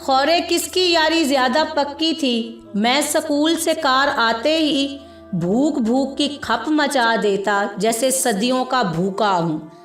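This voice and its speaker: Lady reading a story dramatically, kinda high voice, echoey room sound, soft music under it. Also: takes it slowly. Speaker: storyteller, narrator. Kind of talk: dramatic scripted story narration.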